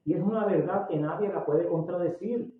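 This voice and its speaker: A man in his sixties from Puerto Rico with a Puerto Rican accent, preaching a sermon emphatically, getting loud and slowing down on key words. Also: high voice, slight background noise. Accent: Puerto Rican Spanish. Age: 60s. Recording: tinny, echoey, distant recording.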